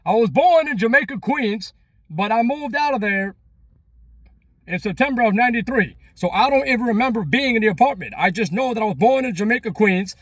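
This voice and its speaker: A man in his thirties with a notably high voice and a Long Island accent. He rambles casually to his vlog viewers, emphatic and repetitive, in a dry recording with little room sound. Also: expressive, a little fast, fairly bright tone, no room sound. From Long Island, New York. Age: 30s. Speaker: vlogger.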